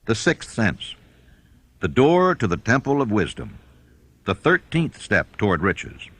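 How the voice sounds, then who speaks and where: A man reading a self-help audiobook as narrator, in a measured, instructional manner. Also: lowish voice, wildly swooping pitch, fairly bright tone, no echo.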